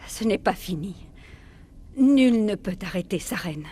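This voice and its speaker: Actress as solemn, imperious matriarch. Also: female voice, medium pitch, lively intonation, measured pace, natural voice. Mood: cold, imperious. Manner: solemn, grandiose. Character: proud, imperious alien matriarch, cold and fervent. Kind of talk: dubbed video game dialogue. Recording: loud music behind the voice.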